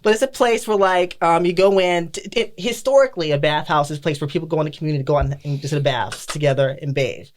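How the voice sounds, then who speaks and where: A man on a podcast panel, telling candid stories in a relaxed, chatty way with frequent laughter. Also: on the high side, fast, hardly any pauses. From Detroit area. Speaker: podcast guest. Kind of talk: casual chat.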